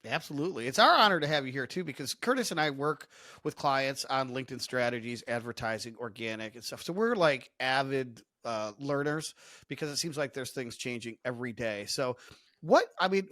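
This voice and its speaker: A man with a mid-pitched voice hosts a podcast interview. He chats casually and wordily, rephrasing as he goes, and the recording is dry without room sound. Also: a bit bright, dry recording. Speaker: podcast co-host.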